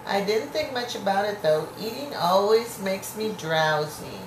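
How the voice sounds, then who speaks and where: A woman's voice: mid-range voice, animated. A woman reading a children's story aloud to a child, in an encouraging read-aloud manner. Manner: encouraging, pauses to prompt and explain words. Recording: roomy, echoey home recording with clear noise.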